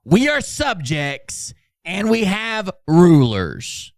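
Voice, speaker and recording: Man putting on a pompous, slow, high-pitched orator. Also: wildly swooping pitch, no room sound, clean studio sound, heavily acted. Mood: grave, solemn. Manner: grandiose, slow, declamatory. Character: pompous, self-important male doomsaying orator. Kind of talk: over-dramatic reading.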